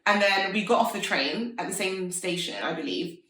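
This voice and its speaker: A woman's voice: mid-range voice, normal speed, fairly bright tone. A woman in her thirties, an entrepreneur talking casually and upbeat in an interview, full of "like"s. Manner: chatty, many filler "like"s, upbeat. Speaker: interview guest, fashion entrepreneur. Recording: roomy echo, mic at a distance.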